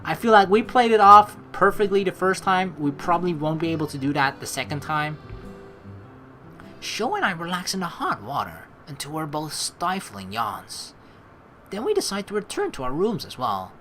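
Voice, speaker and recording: A woman's voice: fairly low pitch, sing-song, normal speed, fairly bright tone, soft background music. A woman let's play streamer reading game text aloud and adding chatty commentary.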